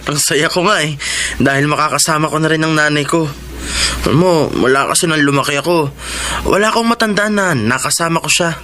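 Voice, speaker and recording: An actor playing a gentle, grieving young man in a Filipino radio drama, speaking earnestly and emotively over music. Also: a little high, fairly quick. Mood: hopeful. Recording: music under the dialogue.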